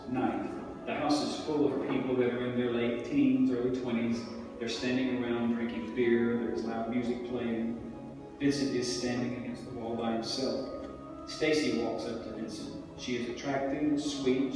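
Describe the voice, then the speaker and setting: A man with a medium-pitched voice gives scripted narration at a table reading. He sounds distant in a strongly reverberant room, with clear music behind him. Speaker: narrator reading stage directions. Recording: echoey hall from far off, music behind.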